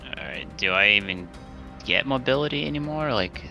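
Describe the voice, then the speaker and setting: A man's voice: mid-range voice, expressive, normal speed, creaky, pushed and bright. A man chatting casually as a player in a tabletop game. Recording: faint music under the voice.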